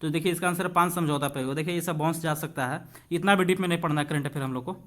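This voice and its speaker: Male exam-prep tutor, high-pitched voice, conversational question-and-answer delivery aimed straight at students. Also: fairly even intonation, quick pace, a bit bright. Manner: conversational, asks and answers own questions, addresses students directly. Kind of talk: informal lesson, reading questions aloud and explaining.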